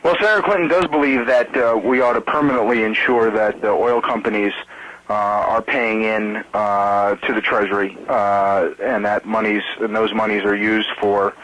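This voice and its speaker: An American man, a campaign spokesman, answering reporters on a conference call in a halting, measured way. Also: fairly low pitch, steady tone, a bit bright, low-quality recording. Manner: halting, measured, hesitant delivery.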